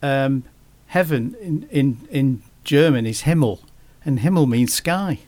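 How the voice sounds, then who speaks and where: A man speaking as a guest in a casual podcast conversation, halting often and restarting his sentences. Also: mid-range voice, lively intonation, darkish tone. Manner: halting, many restarts and fillers.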